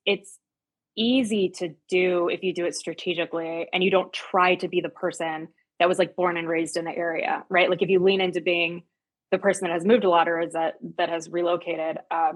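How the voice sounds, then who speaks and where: A woman speaks casually as a real estate agent being interviewed. Her voice sits a little low. She is chatty and emphatic, often repeating a word to drive it home. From United States and Germany.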